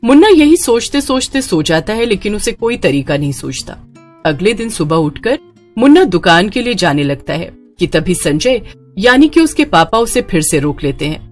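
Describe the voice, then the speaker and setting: A woman's voice: medium pitch, big pitch swings, normal speed, faint music behind. A woman narrating a scripted dramatic story as the storyteller.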